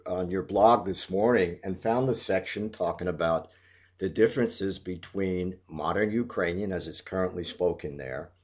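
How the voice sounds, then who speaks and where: A man interviewing a guest, asking long, measured questions with hesitant fillers. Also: sits low. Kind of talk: casual conversational interview.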